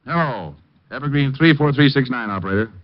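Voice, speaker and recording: A man's voice: mid-range voice, lively intonation, brisk, natural voice. An actor playing a dry, sardonic private detective who narrates his own case in a 1950s radio drama. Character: wisecracking, unflappable male private eye. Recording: muffled old radio broadcast.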